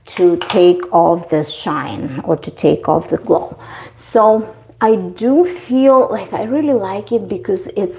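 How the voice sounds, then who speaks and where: A woman's voice: medium pitch, darkish tone. A woman with a Russian accent, a native Russian speaker, chatting casually through a livestream makeup review from her bathroom, rambling with many ums and restarts. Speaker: beauty vlogger. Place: marble bathroom at home.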